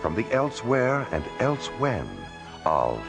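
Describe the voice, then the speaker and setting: A man narrating a radio drama in a measured, portentous announcer style. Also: mid-range voice, very animated. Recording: loud music behind narration.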